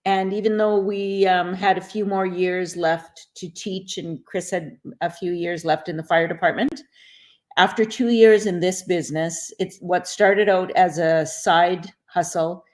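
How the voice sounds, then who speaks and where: Woman, middle-aged, interview guest, video call, steady storytelling. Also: on the low side, unhurried. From Canada.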